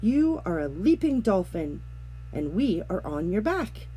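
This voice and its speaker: A woman's voice: mid-range voice, sing-song, a little slow, mellow, a little hiss or hum, rough recording. A woman voicing a friendly, curious young boy in a children's story, speaking eagerly.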